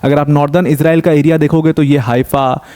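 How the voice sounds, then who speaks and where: Young male news explainer, warm, slightly raised pitch, conversational, throws questions at the viewer. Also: steady tone, brisk, clean tone. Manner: conversational, asks rhetorical questions, mixes in English terms. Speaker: current affairs educator.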